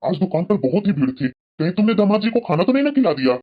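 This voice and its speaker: A man playing a hurried elderly father-in-law in a cartoon family drama, on a tinny, noisy recording. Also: high-pitched, big pitch swings. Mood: flustered. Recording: tinny lo-fi audio, heavy noise.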